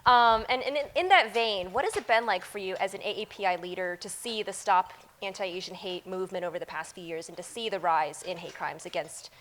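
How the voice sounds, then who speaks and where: A woman journalist moderates a fireside chat on stage and asks polished, prepared questions. Her mid-range voice is steady. A faint noise sits behind the recording. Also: mid-range voice, brisk, few pauses. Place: event hall before a lunch audience.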